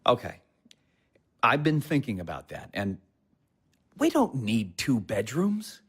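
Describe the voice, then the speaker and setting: A man's voice: wildly swooping pitch, slow pace, slightly rough, exaggerated voice. Man playing earnest, defensive young husband. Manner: earnest, defensive, pitch swinging widely. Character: man Andrew, earnest aspiring writer husband. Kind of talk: audio drama acting.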